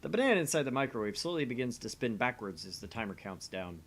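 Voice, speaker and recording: A man's voice: medium pitch, very animated, fast talker, fairly bright tone, a little hiss or hum. A man reading scripted visual novel narration aloud as the host of a gaming channel.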